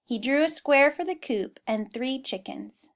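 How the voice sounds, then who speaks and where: A woman reading a children's storybook aloud in an expressive style. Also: high-pitched, unhurried. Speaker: storybook reader.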